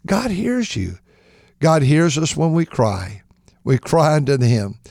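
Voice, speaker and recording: Raspy male preacher, fervent, fairly high voice, dry close sound. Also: sing-song, slow pace, no room sound. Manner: impassioned, surging to shouted praise, stammering restarts. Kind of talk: fervent radio sermon.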